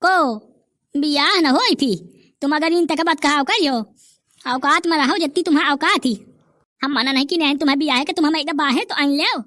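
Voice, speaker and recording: A woman voicing a brash, quick-tempered village character in a comedy cartoon, with a Bundeli accent. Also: high voice, a bit bright, no room sound, clean studio sound.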